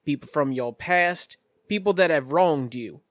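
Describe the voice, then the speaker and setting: Man playing a smooth, genteel shady businessman. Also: a little high, a little slow, dry recording, clean studio sound, natural voice. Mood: smooth, conspiratorial. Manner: polished, formal, evasive.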